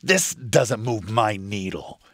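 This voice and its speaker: An actor playing a self-important car dealership manager in a comedic video game, full of chummy, smarmy corporate patter. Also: male voice, on the low side, animated, slow pace, fairly bright tone, no room sound, heavily acted. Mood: condescending. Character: smarmy, self-important, jargon-spouting male office manager.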